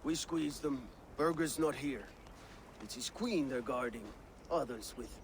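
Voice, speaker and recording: A man's voice: mid-range voice, unhurried, lightly acted. A man playing a blunt Viking warrior, noisy backdrop. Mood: businesslike. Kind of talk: dramatic video game acting.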